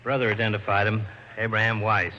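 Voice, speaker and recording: A man's voice: on the low side, little pitch movement, a little fast, sharp tone. An American man playing a harried, businesslike homicide lieutenant in an old radio drama. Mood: worried, serious. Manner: businesslike, clipped, worried. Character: harried, earnest male homicide police lieutenant. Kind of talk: 1940s-50s American radio acting. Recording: muffled, hissy old radio recording.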